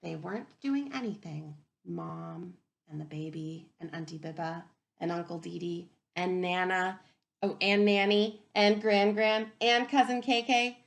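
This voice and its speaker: A woman reading a picture book aloud to young children as a teacher, expressively, pausing to wonder aloud and ask them questions. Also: lowish voice, vocal fry. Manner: expressive, wondering aloud, inviting listeners to reflect.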